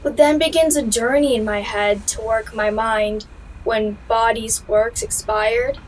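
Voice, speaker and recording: A woman's voice: fairly high pitch, steady tone, unhurried, slight background noise, natural voice, rough recording. A young woman performing a verse monologue as a weary, sleepless lover. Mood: weary, longing. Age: young adult. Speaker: student drama performer. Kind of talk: dramatic monologue reading of verse.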